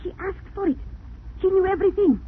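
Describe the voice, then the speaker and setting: An actress playing an affected, nervous French hat-shop baroness with a genteel theatrical manner and French accent in a 1940s radio play. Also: female voice, extremely high pitch, fairly quick. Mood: flustered. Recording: tinny, noisy 1940s radio recording.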